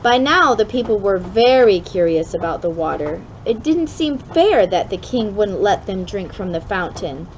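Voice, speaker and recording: A woman reading a children's storybook aloud in an expressive way, with deliberate dramatic emphasis. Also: mid-range voice, dull audio, a little hiss or hum. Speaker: storybook reader for a kids club.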